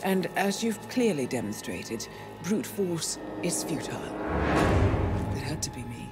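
A woman playing a cool, calculating intelligence handler in a video game, speaking in measured, formal phrases. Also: low-pitched, sing-song. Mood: regretful, grave. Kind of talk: dramatic video game cutscene acting. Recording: long hall reverb under loud music.

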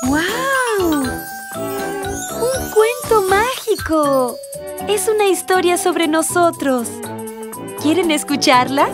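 A woman playing a gentle lady teacher telling stories to small children in a preschool cartoon. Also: very high-pitched, over loud music, heavily acted. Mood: delighted. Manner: slow, expressive, addressing children.

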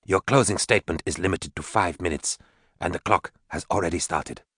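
A man's voice: sits low, a little fast, a bit bright, slightly rough, dry recording, studio quality. Man doing a curt, stern judge. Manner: curt, formal. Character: stern male judge, middle-aged, impatient.

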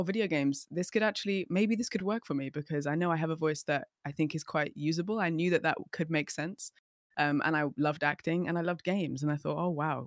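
A young woman, a guest on a podcast, telling her story in casual, animated chat. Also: low-pitched, no echo, studio quality. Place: home room, indoors. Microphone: studio mic on a boom arm, video call.

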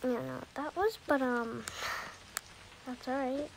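Over clear background noise, a woman plays a busy mother in a pretend-play game story. Her voice is high and her pace is unhurried. She murmurs hesitantly, as if thinking aloud while organising the family. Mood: busy, distracted.

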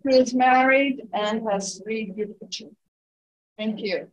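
A woman reading a speaker's introduction from a sheet in a church, measured and plain. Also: fairly high pitch, lo-fi sound. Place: church sanctuary.

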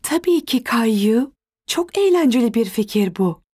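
A woman's voice: fairly high pitch, animated, measured pace, dark tone. A woman playing a caring, encouraging young mother in a cartoon.

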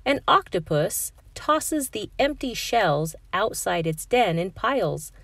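Expressive, unhurried woman storyteller. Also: mid-range voice, no room sound. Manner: expressive, clearly phrased for young children. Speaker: read-aloud storyteller. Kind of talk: children's picture book narration.

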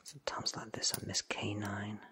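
A man's voice: fairly low pitch, completely flat delivery, normal speed, sharp tone. Man playing a whispering, wry eccentric inventor. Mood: wry, nostalgic. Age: 30s. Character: eccentric time-travelling male inventor, wry, tinkering, chatty. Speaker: ASMR performer. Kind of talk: whispered ASMR roleplay. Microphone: close mic in front of him.

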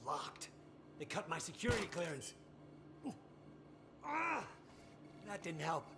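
A man's voice: a little high, big pitch swings, slow pace, background noise, heavily acted. An actor playing an anxious, exasperated scientist in a video game, agitated and sardonic as he explains technical things.

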